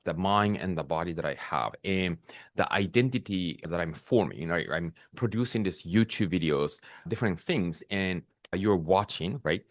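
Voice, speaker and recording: Man, podcast host, low voice, calm and measured, dry close sound. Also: no room sound. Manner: calm, measured, searching for words with restarts. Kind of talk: reflective spiritual monologue.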